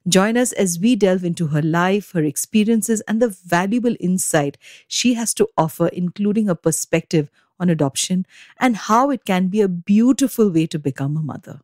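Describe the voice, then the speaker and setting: A woman's voice: lowish voice, expressive, moderate pace, darkish tone, no room sound, studio quality. A woman hosting a podcast, presenting a guest in a scripted, formal introductory style. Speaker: podcast host. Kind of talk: scripted introduction and interview question.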